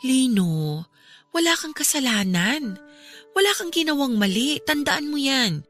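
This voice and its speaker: A woman's voice: high voice, sing-song, unhurried, fairly bright tone, soft background music, heavily acted. A woman playing a caring, steadfast young woman who consoles and encourages, in an earnest radio drama style. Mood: reassuring. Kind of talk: Filipino radio drama acting.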